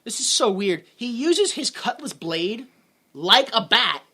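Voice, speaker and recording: Bright, high-voiced man recapping sarcastically. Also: sing-song, unhurried. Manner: sarcastic, laughs often, acts out quotes. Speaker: podcast co-host. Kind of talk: casual movie recap chat.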